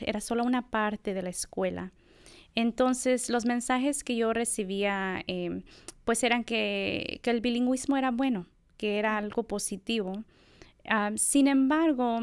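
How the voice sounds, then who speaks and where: Middle-aged woman academic, reflective interviewee, gravelly but clear, dry close sound. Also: on the high side, no room sound. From United States. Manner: thoughtful, reflective, many fillers. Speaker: assistant professor of bilingual education. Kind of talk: conversational interview.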